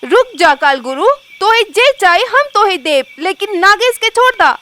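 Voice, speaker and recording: Woman voicing a fiery, high-pitched young serpent woman. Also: expressive, normal speed, bright, forceful tone, full character voice. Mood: urgent pleading. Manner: indignant, commanding threats and pleas. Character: furious young female shape-shifting serpent, defiant and protective.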